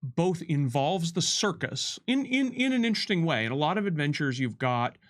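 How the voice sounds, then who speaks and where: A man talking conversationally as a game developer and co-host giving commentary, with a halting, emphatic delivery and drawn-out words. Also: on the high side, big pitch swings.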